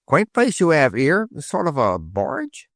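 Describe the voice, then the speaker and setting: A man's voice: on the high side, huge pitch swings, a little slow, no room sound, studio quality, voice pushed up, exaggerated voice. A man voicing a saucy male city sparrow with a Cockney accent, chirpy and drawn out. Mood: cheeky.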